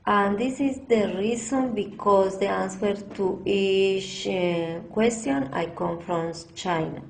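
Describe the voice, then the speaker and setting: Woman, English, very slow, gravelly fry, halting class presentation. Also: medium pitch, darkish tone, low-quality recording. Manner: halting, careful delivery with ESL grammar slips. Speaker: English student. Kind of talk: read-aloud class presentation.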